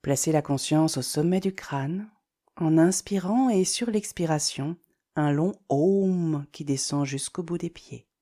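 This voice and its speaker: A woman leading a guided yoga nidra relaxation, giving slow, calm, soothing instructions with deliberate pauses. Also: low voice.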